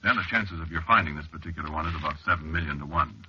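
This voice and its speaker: An actor playing a wry, stubborn researcher, telling his story in a measured, conversational way on a 1940s radio drama. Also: rumbling low, bright, forceful tone, exaggerated voice. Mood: wry, confiding. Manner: conversational, measured, dry understatement. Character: male cliff-dwelling researcher, wry, confiding, stubbornly unafraid. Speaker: narrator and lead actor. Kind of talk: 1940s radio drama first-person narration. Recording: muffled, noisy vintage radio recording.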